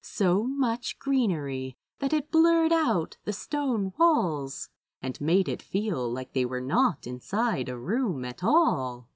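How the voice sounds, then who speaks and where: A woman reading a story aloud as expressive scripted audiobook narration. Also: medium pitch, slow pace, no echo, studio quality. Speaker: audiobook reader of fan fiction.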